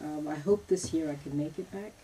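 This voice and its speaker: A woman from Cameroon, a musician guest on a radio show, talking in a chatty, relaxed way and laughing easily. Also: sits low, rapid-fire, poor audio. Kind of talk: casual radio interview. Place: radio studio. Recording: clear background noise.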